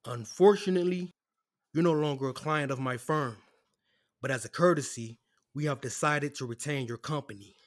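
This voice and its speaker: Guy acting as a calm formal lawyer in an audio drama, mid-pitched voice, apologetic but firm. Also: natural voice. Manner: measured, formal. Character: composed, apologetic corporate defense lawyer delivering bad news.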